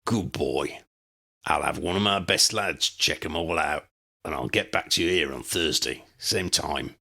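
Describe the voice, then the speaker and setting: Guy doing a gruff shady wizard dealer, deep voice, rough slang. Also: unhurried, pushed and bright, exaggerated voice. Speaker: voice actor.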